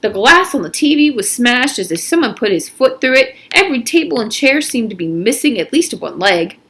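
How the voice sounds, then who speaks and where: A woman reading a children's story aloud in an expressive, animated narrating style. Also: on the high side, a little fast. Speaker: reader of a children's novel aloud. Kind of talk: read-aloud story narration with character voices.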